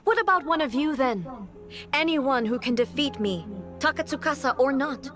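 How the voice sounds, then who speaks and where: A woman's voice: high voice, lively intonation, normal speed, music in the background. A woman voicing a stern, immortal female ruler in a video game, formal, commanding and coldly blunt. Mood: challenging. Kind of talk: dramatic video game acting.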